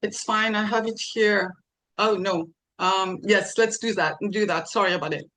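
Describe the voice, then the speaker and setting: A woman's voice: medium pitch, steady tone, normal speed, a bit bright, poor audio. A woman presenter at an online conference, apologetic and flustered while setting up her screen share.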